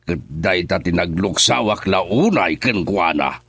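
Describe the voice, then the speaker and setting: A man's voice: deep voice, lively intonation, unhurried, a bit bright, husky, dry recording, faint music behind, exaggerated voice. An actor playing a stern, troubled middle-aged father in Ilocano radio drama, with a Filipino accent. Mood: stern, upset.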